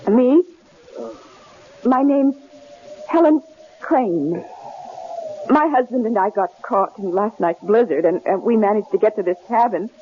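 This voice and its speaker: A woman's voice: mid-range voice, animated, a little slow, long pauses, lightly acted. A woman acting an anxious, bewildered young wife in a radio drama, speaking earnestly with hesitant stammers. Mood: frightened, urgent. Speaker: actor. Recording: dull, noisy vintage radio drama recording.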